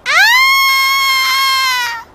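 A woman's voice: very high voice, fairly even intonation, drawn-out words, bright, forceful tone, shouting, a little hiss or hum, voice pushed up, full character voice. A woman voicing a female badger, a neighborhood bully from a children's storybook, in a theatrical, exaggerated way. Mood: bullying, startled.

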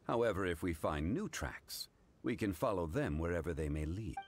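A calm, knowledgeable gentleman from a video game. His low voice is formal and measured, with stately composure. Faint ambient noise lies behind it. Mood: calm, assured.

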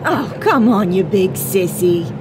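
A woman's voice: medium pitch, expressive, slow pace, over music, rough recording. A woman acting an exasperated, fearless, teasing partner in an audio drama, with a no-nonsense, mocking way of talking. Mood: teasing, dismissive.

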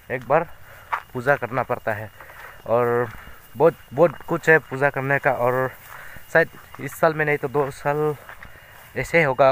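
Bright-voiced young Northeast Indian man, unhurried. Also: medium pitch, a little hiss or hum, poor audio. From Meghalaya, India. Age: young adult. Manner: halting, repetitive explaining. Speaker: vlogger. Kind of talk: casual walk-and-talk vlog. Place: outdoors in open rice fields.

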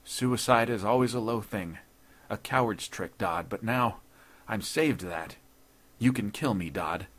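A man voicing a proud older judge in a story, grave and desperate, speaking in a formal, deliberate way. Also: fairly low pitch, a bit bright. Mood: despairing. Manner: formal, deliberate, earnest speech.

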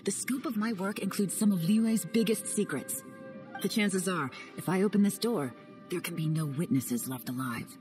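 Cool dry female spy, played by a woman, lowish voice, faint music. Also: normal speed, darkish tone, close to an everyday voice. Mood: wry. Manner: dry, guarded. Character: cool female secret agent, wry and guarded. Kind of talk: video game voice acting.

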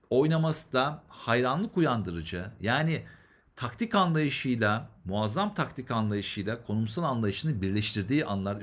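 Male chess instructor, mid-pitched with very lively intonation, analytical and explanatory, talking directly to viewers. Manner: explanatory, addresses viewers with rhetorical questions. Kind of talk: chess game analysis commentary.

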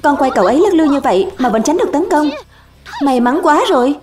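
A woman voicing excitable young spinning-top players in a dubbed cartoon, with a dramatic, exclamatory delivery. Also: high-pitched, fast, music in the background. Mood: amazed. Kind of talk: animated cartoon dub, several characters under one speaker number.

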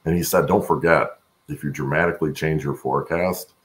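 Male interview guest, middle-aged, extremely low gravelly voice, conversational and explanatory. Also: fairly even intonation, fairly quick. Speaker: guest, supply chain expert. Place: home room, on a video call.

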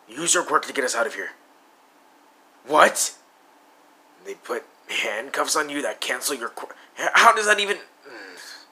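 A man's voice: on the low side, sharp tone, slightly rough. A man voicing a brooding young male villain with blue fire, muttering and sometimes whispering in a fan audio roleplay.

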